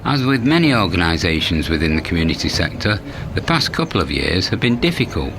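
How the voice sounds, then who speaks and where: A man's voice: low-pitched, sing-song, fairly quick, background noise. A man reading scripted promotional narration as a volunteer narrator. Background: music.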